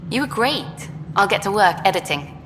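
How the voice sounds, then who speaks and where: An actress playing an eager, idealistic documentary journalist in a video game, brisk and frank. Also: female voice, mid-range voice, big pitch swings, natural voice. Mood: probing, pleased. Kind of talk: video game dialogue acting. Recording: long hall reverb, faint noise.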